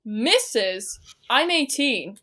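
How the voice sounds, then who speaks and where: Overwrought young English girl in mourning, woman actor in a student audio drama; high, lively delivery. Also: takes it slowly, a little hiss or hum, full character voice. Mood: haughty. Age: young adult. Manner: melodramatic, overwrought. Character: melodramatic 18-year-old girl in mourning. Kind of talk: student radio play acting.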